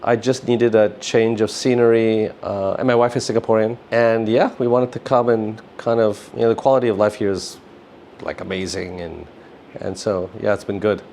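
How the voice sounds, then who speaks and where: Middle-aged man, lowish pitch, casual interview guest, rambling phrasing, slight background noise. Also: steady tone, moderate pace, a little mellow. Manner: rambling, often says "you know" and "like". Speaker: interview guest, business founder.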